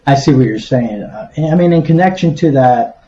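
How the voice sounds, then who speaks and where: A man hosts a casual interview in a mellow, dark-toned voice. His audio sounds muffled and lo-fi, with plenty of room echo around his words. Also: mid-range voice, lively intonation, normal speed, slight background noise. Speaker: podcast host, interviewer. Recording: roomy, dull lo-fi audio.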